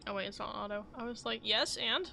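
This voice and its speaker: Creaky-voiced woman streaming a game. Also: a little high, a little fast, sharp tone, soft background music. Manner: rambling, self-questioning asides. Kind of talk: casual livestream chat over gameplay.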